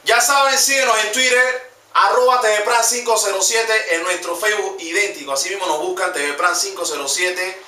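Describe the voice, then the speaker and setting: Brief: twenties Panamanian male vlogger, bright high voice, rambling and jokey, recorded from a distant camera. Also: fairly even intonation, normal speed. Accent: Panamanian Spanish. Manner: slangy, joking, rambling. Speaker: vlog host. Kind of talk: casual comedic vlog narration. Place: small room with computer desk. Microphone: camera mic from a distance. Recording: echoey room, distant mic, faint noise.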